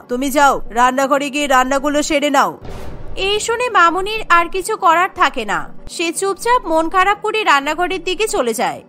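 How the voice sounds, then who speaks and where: A woman narrates a cartoon story from a script. Her voice is very high and bright, set over a soft music bed.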